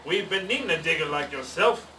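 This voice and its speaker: A man voicing a loud, bossy pirate captain while reading a storybook aloud. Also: on the high side, lively intonation, fairly quick. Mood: booming. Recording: roomy, distant, faint background noise.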